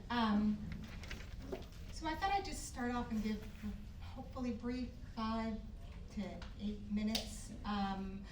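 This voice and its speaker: A woman in her forties from Argentina, telling a personal story to a live audience with frequent "um"s. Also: mid-range voice. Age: 40s. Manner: conversational storytelling with many "um"s. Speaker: author speaker. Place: event hall. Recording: echoey hall, mic from a distance, audible noise.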